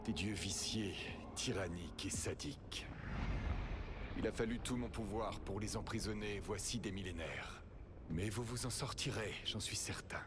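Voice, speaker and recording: Un acteur jouant un dieu elfe ancien, grave et solennel. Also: male voice, lowish voice, noisy background, close to an everyday voice. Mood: solemn, disdainful. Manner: solemn, measured, formal. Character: ancient elven god, proud, calm, calculating rebel.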